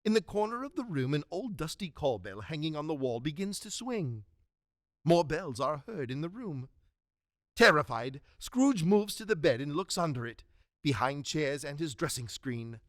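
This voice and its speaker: Lively dramatic male narrator, close and dry. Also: on the high side, normal speed, no room sound.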